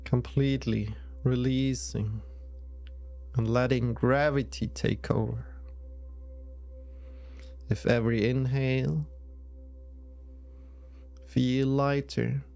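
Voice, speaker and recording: Man, meditation guide, soothing, unhurried with long pauses, creaky mid-pitched voice, background music. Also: a little mellow. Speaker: yoga nidra guide.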